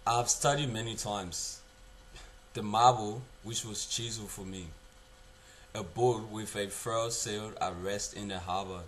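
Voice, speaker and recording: A young man acts out a regretful man looking back on an unlived life. He declaims earnestly and slowly with dramatic pauses, in a lowish voice, over faint noise. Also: fairly even intonation, a bit bright, low-quality recording. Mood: wistful, intense. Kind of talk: theatrical poetry monologue.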